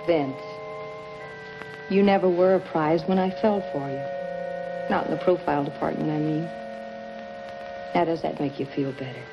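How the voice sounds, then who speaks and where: A woman's voice: deep voice, normal speed, creaky, pauses often. A dull vintage film track with music behind it carries a brassy gangster's moll. She is sardonic and blunt, needling in hard-boiled 1950s crime drama style. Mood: sardonic, coaxing.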